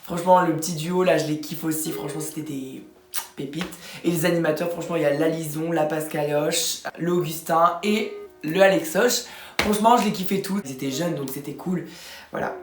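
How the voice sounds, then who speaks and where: A woman's voice: deep voice, brisk, reverberant, slight background noise. A young woman chatting in a casual, playful voice-over full of slang as she introduces her friends. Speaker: vlogger narrating her camp video.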